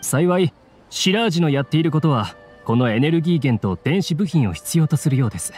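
A man's voice: on the high side, lively intonation, a little fast, darkish tone, faint music behind, natural voice. A man voicing a dutiful, courteous officer in a Japanese video game, speaking formally and earnestly. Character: dutiful, courteous male officer reporting to superior.

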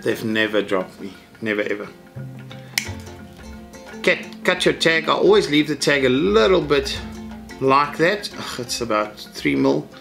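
A man's voice: mid-range voice, lively intonation, unhurried, creaky, pauses often, fairly bright tone, soft background music. A middle-aged man, teaching a fishing rig step by step in a practical, matter-of-fact way from an indoor tackle room. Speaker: fishing instructor. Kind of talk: hands-on tutorial.

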